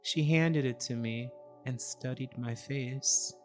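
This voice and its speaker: A man narrating a scripted first-person story in a solemn, reflective, measured way. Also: medium pitch, faint music behind.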